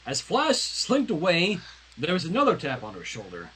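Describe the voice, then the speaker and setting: Someone reading fan fiction aloud as a livestream host, narrating in an animated, dramatic way. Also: mid-range voice, normal speed, husky, poor audio.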